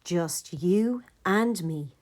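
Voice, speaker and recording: Woman as a slow, courteous storybook gander. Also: fairly low pitch, lively intonation, a little mellow. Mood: calm, tender. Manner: measured, courteous. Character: kindly male gander, protective, courteous and calm.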